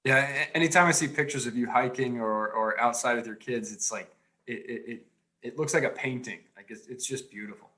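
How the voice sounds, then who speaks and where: A man co-hosting a podcast, introducing the guest and asking questions in a relaxed conversational way. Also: medium pitch, brisk. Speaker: podcast co-host.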